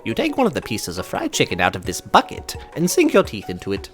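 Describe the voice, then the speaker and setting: A man's voice: fairly low pitch, wildly swooping pitch, fast, fairly bright tone, soft background music. A young man hosting a let's-play, reading game narration theatrically and bantering casually. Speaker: gaming channel host.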